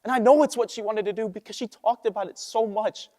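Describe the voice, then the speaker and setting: A man's voice: high-pitched, fairly even intonation, fast talker, a little mellow, lightly acted. A teenage male student playing a restless, idealistic young man in an earnest, emphatic stage monologue. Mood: impassioned. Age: teens. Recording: echoey hall with long reverb.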